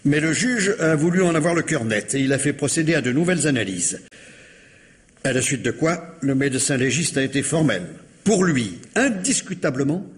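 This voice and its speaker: An actor playing a courteous, dutiful police commissioner who speaks in a formal, apologetic way, in 1950s French radio drama style. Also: male voice, a little high, expressive, moderate pace, close to an everyday voice. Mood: formal, explanatory.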